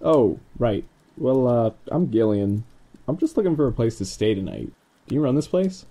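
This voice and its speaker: A man's voice: mid-range voice, very animated, moderate pace, mellow. A man playing a casual, evasive swashbuckling wanderer in a fantasy audio drama, with an offhand delivery.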